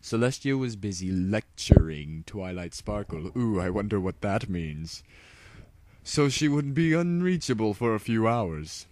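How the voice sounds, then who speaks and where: A man's voice: on the low side, lively intonation, unhurried, darkish tone. A man narrating a story as a mock-dramatic comic reading, with wry asides of his own.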